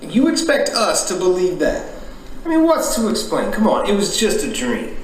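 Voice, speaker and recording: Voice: mid-range voice, huge pitch swings, moderate pace, slight background noise, low-quality recording. An actor playing a brash, skeptical police sergeant in a period stage drama, blunt, impatient and scoffing. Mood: pressing. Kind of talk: radio-style stage drama.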